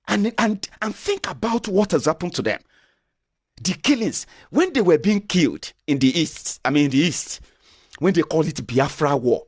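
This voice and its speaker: A man's voice: high-pitched, a little mellow, husky. A Nigerian man with a Yoruba accent giving passionate opinion commentary, repeating and restarting phrases as he argues.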